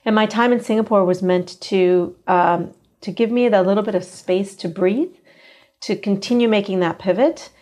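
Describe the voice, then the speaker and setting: A woman's voice: medium pitch, darkish tone. A middle-aged American woman with an American accent, a physician giving a reflective interview answer with frequent ums. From United States. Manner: measured, reflective, with frequent fillers. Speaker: guest, physician.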